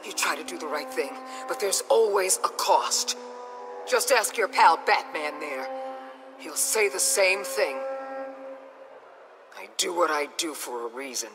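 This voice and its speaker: An actress voicing a hard, defiant middle-aged agency boss in a video game, forceful and unapologetic. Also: female voice, sits low, animated, measured pace, long pauses. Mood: defensive. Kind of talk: dramatic video game voice acting. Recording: loud music underneath.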